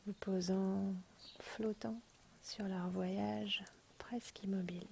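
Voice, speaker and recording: A woman guiding a relaxation meditation in a hushed, breathy, half-whispered, soothing voice, as a yoga nidra teacher. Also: mid-range voice, fairly even intonation, takes it slowly, slight background noise. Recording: rain and birdsong behind the voice. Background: rain and birds.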